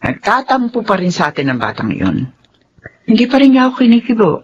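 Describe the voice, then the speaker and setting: Gentle, lilting radio-drama mother. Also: a little high, very animated, fairly quick, slight background noise. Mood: concerned. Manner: gentle, placating. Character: kind, conciliatory middle-aged mother, mistress of the house. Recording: dull radio recording with music behind.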